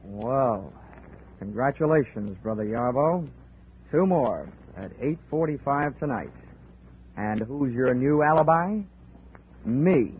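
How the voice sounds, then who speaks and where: An actor playing a wry, tough, slangy insurance investigator in a 1940s radio detective drama. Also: male voice, mid-range voice, lively intonation, slow, pauses often, slight background noise. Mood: wry narrating. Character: wry, hard-boiled male insurance investigator. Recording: tinny 1940s radio recording.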